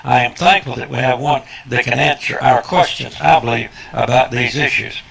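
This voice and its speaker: A man's voice: mid-range voice, normal speed, gravelly, fairly bright tone, poor audio. A man hosting an interview programme, speaking in a formal, emphatic, preacherly way with addresses to his listeners. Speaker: host interviewer. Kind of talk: broadcast interview with formal introductions.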